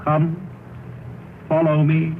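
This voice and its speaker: An actor playing a calm, ageless spirit guide in old radio drama, speaking in a measured, formal, commanding way. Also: male voice, on the high side, gravelly, very noisy. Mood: gently commanding. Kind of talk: 1930s-40s radio drama acting. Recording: old tinny band-limited radio recording, music.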